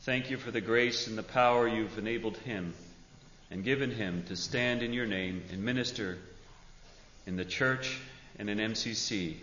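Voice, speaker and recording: A man speaking as a pastor to his congregation, earnest and measured, in the style of a church address. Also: fairly low pitch, fairly bright tone, a little hiss or hum. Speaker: pastor introducing a guest speaker. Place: large indoor church sanctuary. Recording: reverberant church hall, dull sound.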